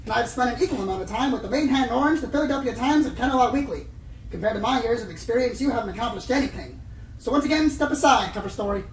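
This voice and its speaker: Woman acting a brash, fast-talking pony star reporter. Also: fairly high pitch, expressive, reverberant, far from the mic, rough recording. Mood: dismissive. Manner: pompous, fast-talking, dismissive. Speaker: voice actor in an audio drama. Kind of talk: fan radio play acting.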